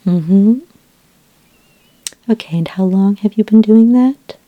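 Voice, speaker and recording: A woman playing a patient, reassuring sci-fi skincare consultant in a soft-spoken, half-whispered ASMR roleplay, gentle and a little hesitant. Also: mid-range voice, no room sound, phone-quality sound, pitched above the performer's own voice, close to an everyday voice. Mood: attentive, polite. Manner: gentle, hesitant, unhurried, polite questioning.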